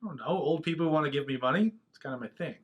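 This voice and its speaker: Fast-talking glib male actor as hustler. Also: fairly high pitch, lightly acted. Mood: glib, casual. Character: glib, sleazy male documentary maker hustling for money. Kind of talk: film dialogue.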